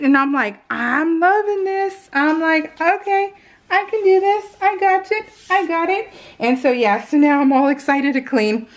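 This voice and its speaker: A woman chatting casually and ramblingly to her viewers as a craft video host, in a giggly, self-deprecating manner. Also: high voice. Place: indoors at home, voice-over recorded while crafting.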